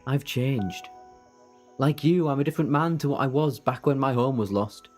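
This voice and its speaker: An ageless, heroic time traveller with a haunted past played by a man in an audio drama. He speaks at a middle pitch, earnest and persuasive, tossing in flippant asides. Faint music sits behind the voice. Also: moderate pace, a little mellow, close to an everyday voice. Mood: wistful, confessional. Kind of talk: fan audio drama acting.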